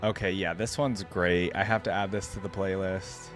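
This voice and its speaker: A man's voice: deep voice, fairly even intonation, moderate pace. A man giving casual, slangy, enthusiastic reaction commentary as a video host. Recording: music playing behind the voice. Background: game theme music.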